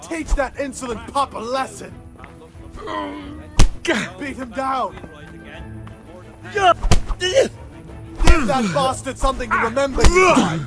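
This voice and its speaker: Very high-pitched rowdy crony. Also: male voice, animated, takes it slowly, long pauses, music in the background, full character voice. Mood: bloodthirsty goading. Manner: goading, shouting. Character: rowdy sycophantic crony egging on a brawl. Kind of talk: video game dramatic acting.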